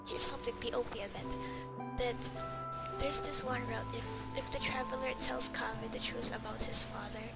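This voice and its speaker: A woman's voice: fairly high pitch, fairly even intonation, normal speed. A woman giving casual commentary while she plays a game, rambling and searching for her words. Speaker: gamer playing through a story quest. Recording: loud game music behind. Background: video game music.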